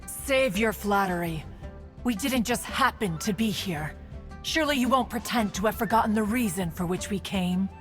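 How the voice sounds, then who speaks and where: An imperious immortal female spirit from a fantasy game, voiced by an actress. She is haughty and sharp-tongued, with old-fashioned formal diction and a mid-pitched voice over clear background music. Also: normal speed, close to an everyday voice. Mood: indignant, disdainful. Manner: imperious, archaic, disdainful. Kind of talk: scripted fantasy game dialogue.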